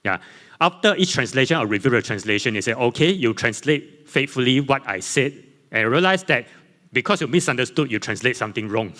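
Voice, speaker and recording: A man whose first language is Chinese gives a conference panel talk from his slides. He speaks haltingly, often repeating himself and correcting his words. His voice has a mid pitch and carries the long reverb of a large hall. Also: expressive, pushed and bright. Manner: halting, many fillers and self-repairs. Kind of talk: academic presentation with slides.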